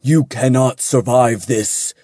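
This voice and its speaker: A man reading fantasy fiction aloud, voicing a formal, ominous male angel with slow, thunderous words. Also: mid-range voice, mellow, dry recording, clean studio sound. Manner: slow, grave, formal intoning.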